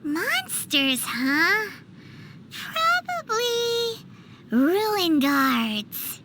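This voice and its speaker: Super high squeaky little game fairy, curious and chirpy, really swoopy, some background noise. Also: female voice, sing-song, very slow, exaggerated voice. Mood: curious, earnest. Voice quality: squeaky, childlike. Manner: refers to self in third person, exclamatory. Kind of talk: video game character dialogue.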